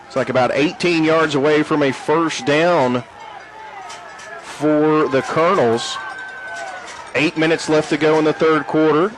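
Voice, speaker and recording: A man calling a high school football game play by play from the stadium booth. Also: a little slow, pauses often, rough recording. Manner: rising excitement on big plays. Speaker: play-by-play announcer. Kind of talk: sports play-by-play commentary. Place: press box at an outdoor stadium.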